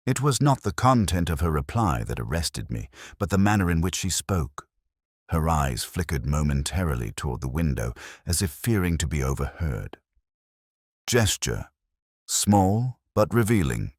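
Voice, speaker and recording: Male narrator, very low voice with lively intonation, dry studio sound; solemn scripted detective fiction, measured and literary. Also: dry recording. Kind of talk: scripted first-person detective story narration.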